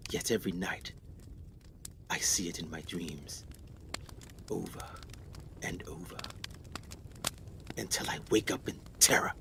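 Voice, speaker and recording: A man acting in a radio play as an earnest, excitable explorer doctor, speaking formally with dramatic pauses. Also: low voice, creaky, noisy background. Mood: haunted, hushed.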